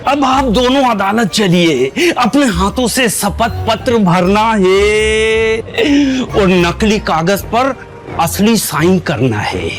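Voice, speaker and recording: Cheeky court hustler, high voice, slow showy delivery, rhyming couplets, audio drama, music underneath. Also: male voice, animated. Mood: wheeling-dealing. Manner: theatrical, speaks in rhyming couplets. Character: flamboyant rhyming court fixer, cheeky smooth-talking hustler. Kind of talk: Hindi audio drama acting, sing-song verse.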